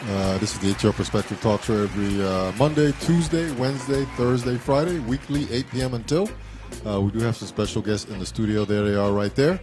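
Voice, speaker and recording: A man hosting a casual live talk show, asking questions in a halting way and often repeating words. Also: medium pitch, darkish tone.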